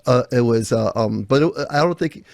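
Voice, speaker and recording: A man's voice: mid-range voice, normal speed, vocal fry, a little mellow, no echo. American guy hosting a chat, dry mic, rambles a bit, lots of mm-hmm. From United States. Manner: friendly, rambling with restarts, affirming. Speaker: podcast host. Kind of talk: casual interview conversation.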